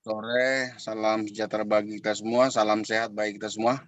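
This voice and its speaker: A man giving an informal address as a webinar guest, courteous and halting in his delivery. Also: on the low side, level tone, a bit bright. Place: online video call. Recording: dull echoey online call audio.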